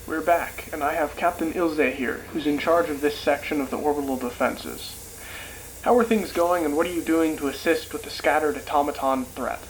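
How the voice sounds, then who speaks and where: Guy doing earnest war correspondent in a sci-fi radio drama, voice a bit high, some ambient noise behind. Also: moderate pace, lightly acted, low-quality recording. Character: earnest, gritty male war correspondent at frozen front. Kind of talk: wartime radio field report.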